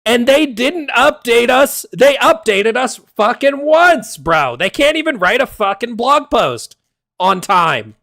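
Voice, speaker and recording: A man streaming and ranting casually, heated and exasperated, swearing often, his voice going hoarse. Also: very high-pitched, sing-song, slow pace, pushed and bright, dry recording, clean studio sound. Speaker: game streamer. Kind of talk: casual livestream rant.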